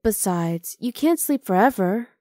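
A woman's voice: mid-range voice, animated, a little slow, mellow, no echo, close to an everyday voice. Close, dry, teasing half-dragon girlfriend voiced by a woman. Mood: coaxing, teasing. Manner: casual, coaxing, affectionate teasing. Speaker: ASMR roleplay voice actor. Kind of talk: ASMR roleplay acting.

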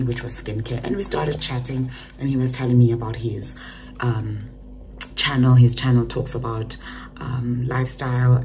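A young woman vlogging to camera, her voice nearly gone, hoarse and half whispered as she chats about an event. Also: rumbling low, background noise. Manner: chatty, recounting an event. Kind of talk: casual vlog chat to camera. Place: bedroom, indoors. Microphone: phone held close to face.

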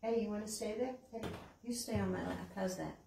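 A woman's voice: medium pitch, fast, gravelly, mellow. An older American woman hosting a children's storytime, asking simple, encouraging questions as if to young children. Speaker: children's library storytime host. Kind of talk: children's storytime with puppets, songs and read-aloud. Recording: roomy, distant mic, background hiss.

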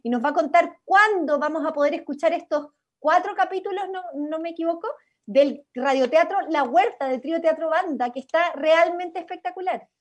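A woman with a high-pitched voice hosts a group session. She talks casually over a video call. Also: moderate pace. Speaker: workshop host, theatre actor.

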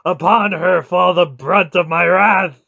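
Huge angry grieving god for an audiobook, booming archaic threats, gravelly, kinda muffled audio. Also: male voice, slow, dry recording, full character voice. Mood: raging. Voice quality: loud, roaring, howling. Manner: archaic, grandiose, menacing speech. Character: vast male weeping god, wrathful, grieving, vengeful.

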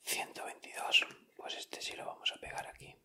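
A man whispering softly and deliberately in an ASMR style. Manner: soft, unhurried, deliberate narration of each item. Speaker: ASMR video creator. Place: indoors at a table.

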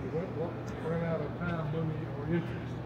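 An older man giving a guided museum tour, telling folksy stories with halting restarts, recorded in a large indoor hall. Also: on the high side, flat delivery, muffled recording, very noisy. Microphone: camera held by a visitor nearby.

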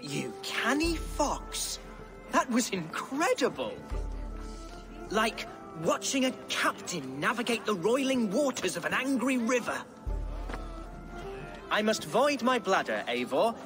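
An actor playing an excitable, boastful young Saxon lord with a flowery, theatrical manner in a video game. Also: male voice, sits high, takes it slowly, long pauses, over music, heavily acted. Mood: admiring, jaunty. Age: young adult. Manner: theatrical, grandiloquent. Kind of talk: video game cutscene acting.

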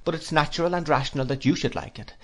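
Guy reading as a bossy old aunt in a storybook, pitched way up, decisive, pounding every point. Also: fast talker. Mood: brisk. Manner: decisive and emphatic. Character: brisk, strong-willed elderly aunt. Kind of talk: audiobook character reading.

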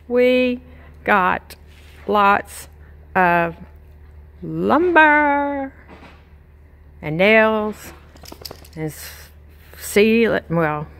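A woman's voice: medium pitch, fairly bright tone. A middle-aged woman with a Southern accent, chatting casually to her phone camera outdoors on her farm, folksy and full of fillers. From Texas. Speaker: farm vlogger. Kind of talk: casual vlog narration. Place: outdoors on a rural farm, by a chicken pen. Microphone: handheld phone camera.

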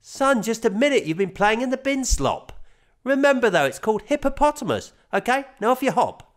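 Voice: medium pitch, sing-song, pitched above the performer's own voice, natural voice. A storyteller voicing a patient young father who keeps correcting his son's word. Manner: insistent, correcting, repeats words slowly.

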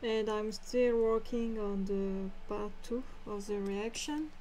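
A woman's voice: medium pitch, slow pace, dark tone, faint music behind, low-quality recording. A young adult woman from France with a French accent, chatting casually while drawing on a live stream and often searching for her words. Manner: hesitant, searching for words, apologetic. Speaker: live-stream artist host.

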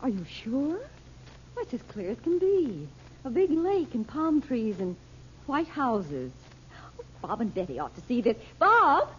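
A woman's voice: fairly high pitch, sing-song, a little slow. An actress playing an anxious, doubting middle-aged mother in an old radio drama. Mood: amazed. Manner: fretful, argumentative. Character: anxious, doubting American mother on a desert journey. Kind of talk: 1930s-40s radio acting. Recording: muffled, noisy old radio recording.

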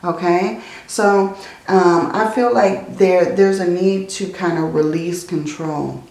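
A woman reading tarot cards in a slow, soothing way, her voice tired and strained from many readings. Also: fairly low pitch, fairly even intonation, creaky, darkish tone, roomy sound, poor audio. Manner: slow, soothing, often adds "okay?" and "I feel like". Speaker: tarot reader. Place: indoors at a table, hands seen handling cards.

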